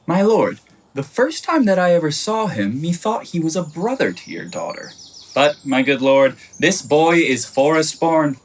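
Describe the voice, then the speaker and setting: Low-voiced lovesick young man, acted by a woman. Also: big pitch swings, a little slow, heavily acted. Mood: earnest. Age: young adult. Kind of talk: Shakespearean radio play acting.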